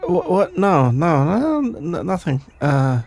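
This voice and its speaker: A man playing a shy, sleepy young best friend in an ASMR roleplay, stammering, hesitant and evasive in a creaky, drowsy voice. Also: on the high side, very animated, slow, darkish tone, exaggerated voice. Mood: flustered, embarrassed.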